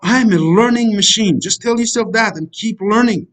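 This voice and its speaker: High-voiced Kurdish man coaching. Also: moderate pace, a bit bright. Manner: preachy, repetitive, addresses listeners as friends. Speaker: life coach. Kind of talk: motivational voice-over lecture over slides.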